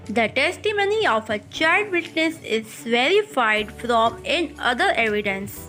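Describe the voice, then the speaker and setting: A woman with a Pakistani accent reading out a scripted lecture in a deliberate, instructional way, point by point. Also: high voice, fairly bright tone. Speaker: law lecturer.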